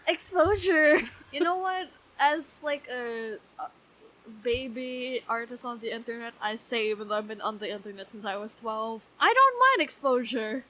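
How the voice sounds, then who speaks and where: A woman in her twenties chatting casually as a podcast co-host, gushing, excitable and quick to swear. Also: fairly high pitch, poor audio. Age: 20s. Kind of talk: casual fan podcast chat.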